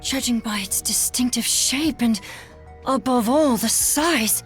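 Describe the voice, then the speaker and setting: A woman's voice: fairly high pitch, slow. An actress playing a resolute female ship captain in a video game, with a commanding, articulate, formal way of speaking. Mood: composed. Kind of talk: English video game voice acting. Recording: game music behind the voice.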